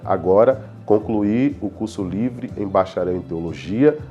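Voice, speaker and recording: Mellow low-voiced man. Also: lo-fi sound. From Salvador, Bahia, Brazil. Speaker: student giving testimonial. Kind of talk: personal testimonial. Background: background guitar music.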